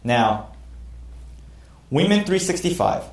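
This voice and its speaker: A man's voice: fairly high pitch, big pitch swings, takes it slowly. A man in his thirties teaching a class as a military instructor, earnest and candid about his own past mistakes. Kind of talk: classroom lecture. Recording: echoey room.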